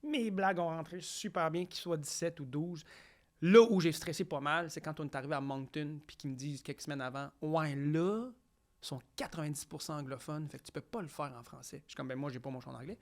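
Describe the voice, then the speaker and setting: A young man with a Quebec French accent, a comedian telling stories on a podcast. He acts out quotes and talks in quick bursts with restarts. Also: fairly high pitch. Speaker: comedian guest. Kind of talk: casual podcast interview storytelling.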